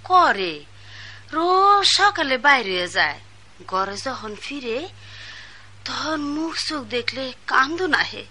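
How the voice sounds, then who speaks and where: A woman's voice: high-pitched, very animated, slow pace, sharp tone, full character voice. An actress playing a poor working mother who serves as a household maid, speaking an in a radio drama. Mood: weary.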